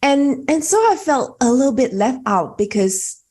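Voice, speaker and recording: A woman's voice: high voice, sing-song, moderate pace, a little mellow. A woman from Malaysia, a networking coach, telling her story in a chatty way with frequent fillers. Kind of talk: conversational storytelling.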